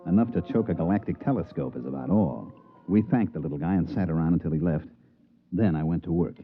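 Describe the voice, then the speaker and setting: A man's voice: low voice, quick pace. A man playing a brash, slangy American con man and promoter in a 1950s radio drama, who also narrates the tale. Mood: wry, narrating. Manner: slangy, smooth-talking salesman patter. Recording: muffled 1950s radio recording with music.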